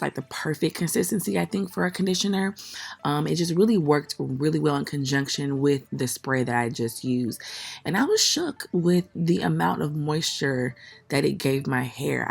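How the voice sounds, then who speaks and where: A woman in her thirties narrating her hair routine in a relaxed, candid way. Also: sits low, gravelly, slightly rough. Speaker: hair vlogger. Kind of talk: voice-over tutorial.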